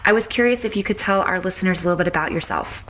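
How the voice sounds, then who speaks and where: A woman with an American accent and a slightly low pitch hosts a casual interview. She talks quickly in a chatty, agreeable way, with faint noise behind her voice. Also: fairly bright tone. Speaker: podcast host, interviewer.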